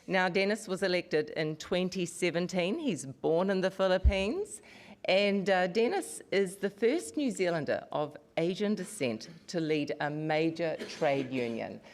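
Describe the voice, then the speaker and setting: Lady introducing the next speaker at a convention, friendly, lowish voice. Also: animated, a little slow, a little mellow. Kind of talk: formal introduction. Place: large convention hall.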